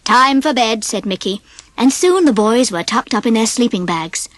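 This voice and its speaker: A British woman narrates a children's story with plenty of expression. Her voice is on the high side and lively, in a dry, close recording. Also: moderate pace, dry recording. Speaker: storybook reader.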